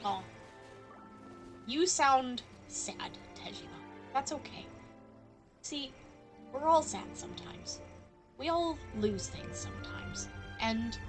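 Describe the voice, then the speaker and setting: A woman's voice: sits high, expressive, takes it slowly, long pauses. A woman doing casual live-stream game commentary in a playful, mock-regal manner. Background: game music.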